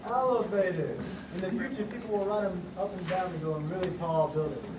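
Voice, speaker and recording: A man's voice: high voice, fairly quick, natural voice. A man playing an earnest, mock-formal airport checkpoint official in an amateur church puppet show. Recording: distant echoey recording with loud noise.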